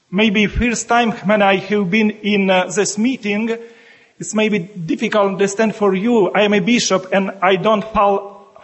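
A man from Ukraine speaking in halting, broken English with a Ukrainian accent, a bishop and monk addressing a meeting as guest speaker. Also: high-pitched. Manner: searching for words in broken English.

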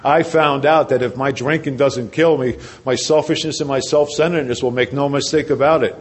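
An older man speaks to a packed convention hall, sharing his own recovery story. His voice sits at an ordinary middle pitch. He stays conversational and pokes fun at himself, then sinks his voice low and quiet when a point matters. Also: fairly even intonation, fairly quick, slightly rough. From New Jersey. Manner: conversational and self-deprecating, drops his voice low and quiet for emphasis. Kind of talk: personal testimony talk.

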